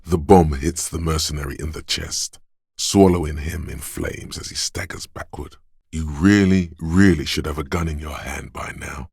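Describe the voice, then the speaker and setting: Gun-obsessed inner voice from a video game, super deep n husky, deliberate, real dry close recording. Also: male voice, no echo, full character voice. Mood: tense, urging.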